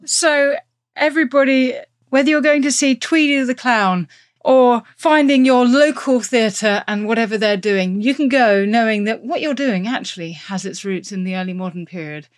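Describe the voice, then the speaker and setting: A woman's voice: on the high side, normal speed, no echo, studio quality. A woman hosting a podcast, speaking in a polished, presenterly interview style. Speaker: podcast host. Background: music.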